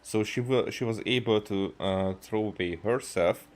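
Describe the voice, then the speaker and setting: A man from Hungary, thinking aloud in halting, rambling gameplay commentary and often repeating himself. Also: on the low side. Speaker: video game streamer. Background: faint game music.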